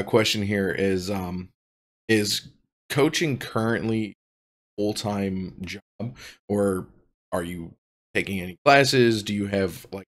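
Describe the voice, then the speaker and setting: Low-voiced man asking rambling questions. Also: a bit bright. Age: 30s. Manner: rambling, halting questions with fillers. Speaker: podcast host. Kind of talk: casual interview questions.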